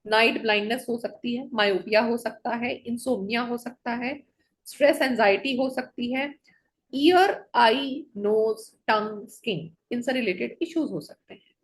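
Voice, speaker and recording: A woman's voice: on the high side, measured pace, rough recording. A woman teaching an online color therapy class, listing one item after another and checking in with her students.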